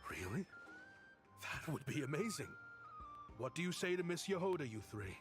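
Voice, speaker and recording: An actor playing a gentle, earnest, protective father figure in a game, calm and sincere. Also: a little high, normal speed, music in the background. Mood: grateful. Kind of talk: game voice acting.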